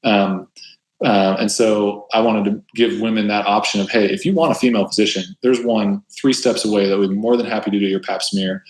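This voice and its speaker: A man who works as a family doctor, speaking with a deep voice in a casual, thoughtful interview style. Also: fairly even intonation, brisk. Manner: thoughtful, conversational, with frequent restarts. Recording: roomy echo.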